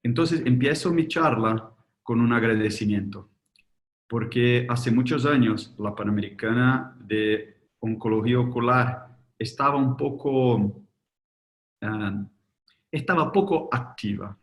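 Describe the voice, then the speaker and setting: Un hombre brasileño de lengua materna portuguesa, con un leve acento argentino, da una charla en un seminario web por videollamada, con habla pausada y llena de muletillas. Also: male voice, mid-range voice. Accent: slight Argentine. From Brazil. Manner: slow, hesitant, with many fillers. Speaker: guest speaker, ophthalmologist. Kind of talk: webinar lecture. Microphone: video call.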